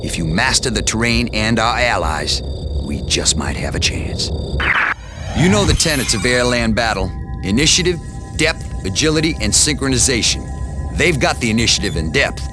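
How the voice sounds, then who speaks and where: An actor playing a gruff male commanding officer who gives orders in a clipped, commanding military briefing style. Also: medium pitch, very animated, normal speed, natural voice. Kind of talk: scripted game cutscene briefing. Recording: loud music behind the voice.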